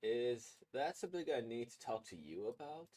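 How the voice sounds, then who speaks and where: A man voicing a stern, guarded adult questioning a student in a horror manga, firm and deliberate. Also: medium pitch, sing-song, warm tone. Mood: curt, guarded.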